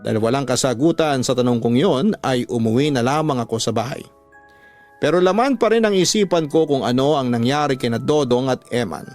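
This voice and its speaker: A man delivering dramatic first-person scripted narration as a radio drama storyteller, in a measured way. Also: medium pitch, darkish tone. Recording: music bed under the voice. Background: music.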